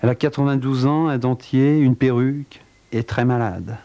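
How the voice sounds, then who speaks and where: A man telling a dark true-crime tale in a wry, theatrical way, with a cold. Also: steady tone, moderate pace, lo-fi sound. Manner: wry, theatrical storytelling with big pitch swings. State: has a cold. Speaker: narrator. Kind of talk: dramatic spoken narration.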